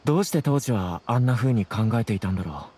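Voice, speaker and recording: A man's voice: on the low side, animated, fast talker, dark tone, close to an everyday voice. A man playing an earnest, troubled young scholar with polite speech in a Japanese game. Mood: earnest, apologetic. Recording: clear background noise, insect ambience.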